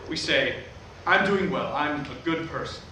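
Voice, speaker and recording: An earnest, world-weary preacher acted by a man in a staged play. His voice sits a little high and comes from far off in a very reverberant hall, with clear noise in the recording. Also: lively intonation, moderate pace, close to an everyday voice. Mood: earnest preaching. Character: earnest, weary male megachurch pastor. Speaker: actor in a staged reading. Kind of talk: staged play reading, sermon and dialogue. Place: theatre at a live staged reading.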